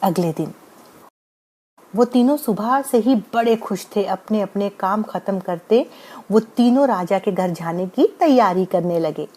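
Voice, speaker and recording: A woman's voice: mid-range voice, animated, a little slow, warm tone. A woman narrating a scripted bedtime moral story as the storyteller.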